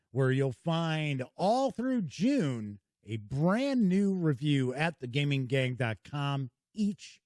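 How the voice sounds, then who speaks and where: A man's voice: mid-range voice, no echo, studio quality. A man hosting his own board game channel, giving a casual, chatty review to camera in a folksy, welcoming way. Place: small home studio.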